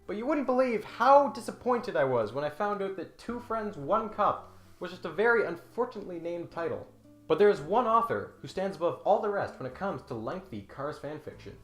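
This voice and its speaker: A man's voice: sits high, very animated, a little fast. Man doing mock-serious commentary, roomy echo. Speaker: video essay host. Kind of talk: scripted comedic commentary with dramatic read-aloud passages. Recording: roomy echo, music behind.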